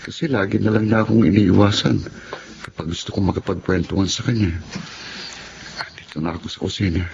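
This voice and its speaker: A man's voice: on the low side, steady tone, a little fast. An actor playing a shy, brooding young man, a younger brother in a radio drama, speaking hesitantly. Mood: hopeful. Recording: muffled audio with clear background noise.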